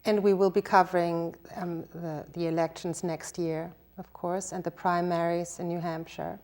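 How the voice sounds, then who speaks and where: Lady, talks soft and kinda hesitant, low-ish voice. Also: flat delivery, normal speed. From Germany and Denmark. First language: German. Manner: soft-spoken, hesitant with fillers and restarts. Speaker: guest, newspaper publisher. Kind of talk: conversational interview answers.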